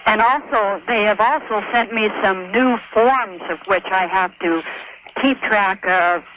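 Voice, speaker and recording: A man calling a radio show, explaining a problem in a measured, hesitant, precise way over the telephone. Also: sits high. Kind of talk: explaining a work problem by phone. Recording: muffled noisy phone line on radio.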